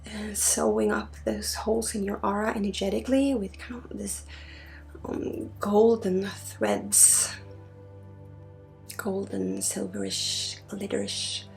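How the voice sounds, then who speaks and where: A woman from Sweden, a native Swedish speaker, guiding an ASMR energy healing session in a breathy, halting voice that often drops into a whisper. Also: medium pitch, expressive, faint music behind. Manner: hushed, halting, intimate direct address. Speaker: spiritual healer and ASMR host.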